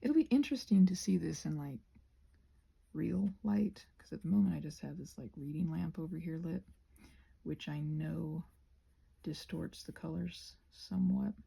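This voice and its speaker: Soft breathy lady kinda talking to herself while crafting, super mellow, bit of creak, rambly and self-deprecating. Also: deep voice, normal speed. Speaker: craft and ASMR video creator. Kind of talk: soft-spoken casual rambling while painting.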